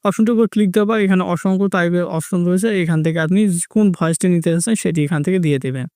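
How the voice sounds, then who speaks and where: High-pitched, fast-talking man. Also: a little mellow, smooth, no room sound, studio quality. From Bangladesh. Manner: repetitive instructional phrasing. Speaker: tech tutorial host. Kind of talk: step-by-step screen tutorial narration.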